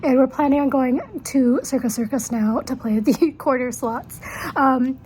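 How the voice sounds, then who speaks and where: A woman's voice: high-pitched, steady tone, measured pace, a little mellow, slight background noise, rough recording. A young woman with a congested, stuffy voice, chatting casually as a travel vlogger, rambling and correcting herself as she goes. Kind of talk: casual vlog narration. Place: hotel and casino in Las Vegas.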